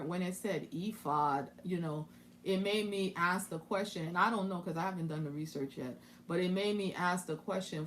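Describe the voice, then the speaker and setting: Woman musing through scripture, lower voice. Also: slight background noise. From Haiti. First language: French. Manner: thinking aloud, with many restarts and asides. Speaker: Bible teacher. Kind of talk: casual Bible study commentary.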